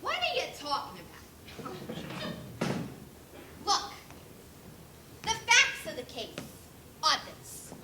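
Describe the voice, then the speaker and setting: Woman as pig juror, theatrical, accusing, very high voice, deliberate pace, stage play, roomy noisy recording. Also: wildly swooping pitch, exaggerated voice. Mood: dramatic. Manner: emphatic, theatrical storytelling. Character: excitable, accusing pig juror retelling a crime. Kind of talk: amateur school stage play. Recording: echoey room with clear noise.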